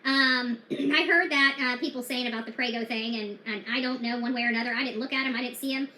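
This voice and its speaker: A high-voiced woman talks straight to her viewers about true crime. She is blunt and defiant, full of fillers and restarts, and her bright voice rings in an echoey room. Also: steady tone, fast, slight background noise. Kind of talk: casual talk to viewers.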